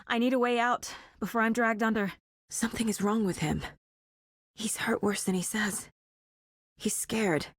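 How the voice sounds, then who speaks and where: An actress playing a determined, focused female FBI agent in a video game, with a serious, measured investigator's delivery. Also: fairly high pitch, steady tone, no room sound. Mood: despairing, then concerned, focused. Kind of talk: scripted video game dialogue and inner monologue.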